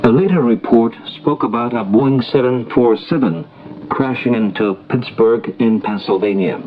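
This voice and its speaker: A man reading a formal scripted international radio news bulletin in a measured, deliberate way. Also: mid-range voice. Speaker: radio newsreader. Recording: noisy, muffled shortwave radio broadcast recording. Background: shortwave static and noise.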